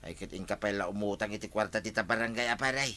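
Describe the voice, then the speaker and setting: A man's voice: on the low side, fast, bright, forceful tone. An actor playing a wheedling village man in gambling debt who begs for a loan, in a radio comedy drama. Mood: pleading.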